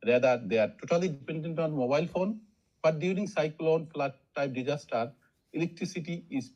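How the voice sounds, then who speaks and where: A man's voice: mid-range voice, darkish tone. A man from Bangladesh, asking a formal, polite question in an online webinar. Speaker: audience questioner. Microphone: video call. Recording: dull lo-fi video-call audio.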